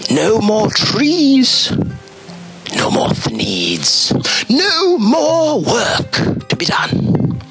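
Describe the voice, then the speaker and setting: A middle-aged man reading a children's story dramatically, with long pauses and heavy emphasis. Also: extremely high pitch, vocal fry, poor audio. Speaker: storybook reader.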